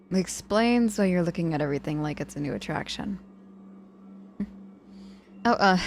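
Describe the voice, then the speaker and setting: A woman playing a cocky but softening injured witch mentor in an ASMR audio roleplay, dryly teasing, apologetic and protective with hesitant pauses. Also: on the low side, natural voice.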